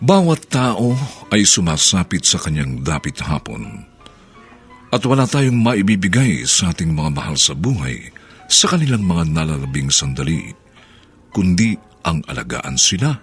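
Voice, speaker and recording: A man with a Filipino accent introducing a radio drama in a solemn, theatrical, deliberate way with strong emphasis. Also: low-pitched, very animated, soft background music, exaggerated voice. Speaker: drama anthology announcer and narrator.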